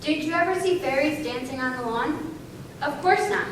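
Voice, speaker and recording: A high-pitched woman delivers scripted lines for a readers theater. She sounds distant, in a strongly echoing space with noticeable noise. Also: moderate pace. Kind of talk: scripted stage recitation. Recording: echoey hall, distant mic, noisy.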